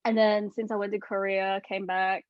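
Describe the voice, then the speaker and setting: A woman's voice: mid-range voice, little pitch movement, normal speed, no echo. A Singapore-born woman, chatting casually as a podcast co-host. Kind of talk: casual chat. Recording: dull dry podcast audio.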